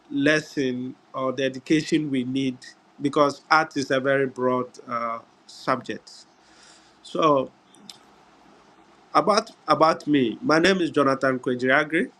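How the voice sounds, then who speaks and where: A middle-aged man from Ghana with a Ghanaian English accent, giving an unhurried webinar talk over a video call, often pausing on "uh" and "yeah". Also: mid-range voice, fairly bright tone. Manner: unhurried, repeats words, frequent "uh" and "yeah". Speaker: guest speaker. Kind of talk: webinar presentation.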